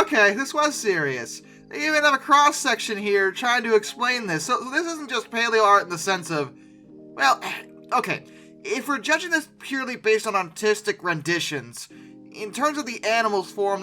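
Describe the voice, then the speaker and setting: An incredulous, sarcastic host giving casual reaction commentary, with emphatic delivery and dramatic pauses. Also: medium pitch, bright, forceful tone. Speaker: host of a paleoart reaction video. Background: music.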